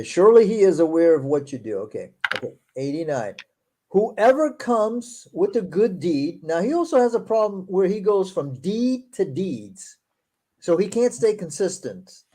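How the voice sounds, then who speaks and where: A man who speaks English as a second language, with Portuguese as his first, and a fairly high but mellow voice. He reads text slowly and breaks in with sarcastic asides. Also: sing-song. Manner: reads verses aloud slowly, then comments in a quick, sarcastic tone. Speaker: show host. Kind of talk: casual critical discussion.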